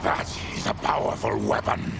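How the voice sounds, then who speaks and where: A man's voice: moderate pace, background noise, close to an everyday voice. An actor playing a grim, stoic male warrior in a video game, terse and grave. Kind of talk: video game cutscene acting.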